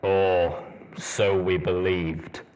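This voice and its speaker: A man voicing a stern, grave old armored soldier pony ghoul in a low, rumbling, weighty voice for a story reading. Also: flat delivery, drawn-out words, darkish tone, a little hiss or hum, low-quality recording. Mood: solemn, reflective.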